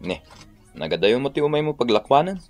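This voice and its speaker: A man with an Ilocano accent playing a calm, placating cousin in a radio drama. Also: mid-range voice, fairly quick, lightly acted. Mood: subdued, conversational. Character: calm peacemaking male cousin back from prison.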